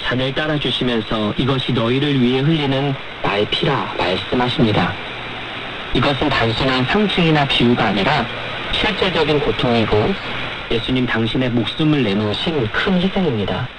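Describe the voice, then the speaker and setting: A noisy shortwave broadcast carries a male pastor with a medium-pitched voice. He delivers his sermon in a formal, earnest manner, explaining as he goes. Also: lively intonation, moderate pace. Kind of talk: radio sermon.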